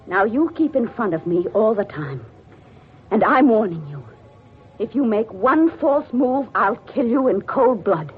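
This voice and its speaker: A frightened but defiant hunted woman in a vintage radio melodrama. Her voice is high and swoops with fraught emotion. The sound is muffled and old. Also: expressive, measured pace. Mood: suspicious, threatening. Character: frightened, distrustful woman hunted by unseen killers. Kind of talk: old-time radio thriller acting. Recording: muffled vintage radio drama recording with music.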